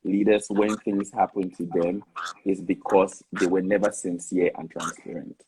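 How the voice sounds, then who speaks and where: A man's voice: low voice, little pitch movement, normal speed, darkish tone, slightly rough, low-quality recording. A man in a casual faith discussion, talking conversationally and often filling with "like". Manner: conversational, with frequent "like" fillers. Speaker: discussion panelist.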